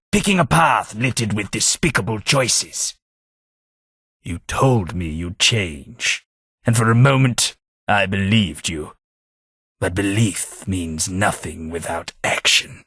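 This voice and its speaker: An actor with a British accent playing a weary old ghoul man for a video game, speaking in a measured, formal way with dry wit and a husky voice. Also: fairly low pitch, a bit bright, no room sound, full character voice. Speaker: game character. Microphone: close studio mic.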